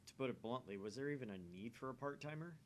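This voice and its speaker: A man hosting a game channel, reading visual novel narration aloud and chatting casually. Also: fast talker. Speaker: video game channel host. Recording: faint rumbly background noise.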